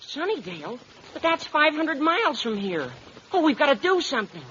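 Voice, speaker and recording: A performer playing an eager, naive, scheming teenage schoolboy with a squeaky voice in a vintage radio comedy. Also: moderate pace, heavily acted. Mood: alarmed, scheming. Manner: excitable, gawky. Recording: muffled, noisy vintage radio broadcast.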